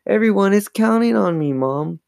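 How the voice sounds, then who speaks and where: Give me a man playing a scared young girl from a kids' horror tale, high-pitched, stammering and dramatic. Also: animated, moderate pace, creaky, darkish tone, no echo, clean studio sound. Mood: pleading. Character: frightened, pleading young girl in a children's horror story.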